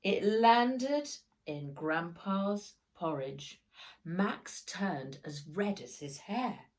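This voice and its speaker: Woman reading a storybook, slow and animated. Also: medium pitch. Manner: dramatic, with sound words acted out. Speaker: author reading own book. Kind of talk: expressive children's storybook narration.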